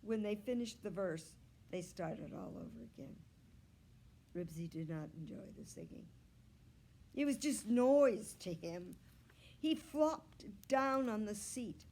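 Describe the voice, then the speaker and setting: A woman reading a children's novel aloud as a storyteller, expressive and deliberate, drawing out words. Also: mid-range voice. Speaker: children's story reader, library staff.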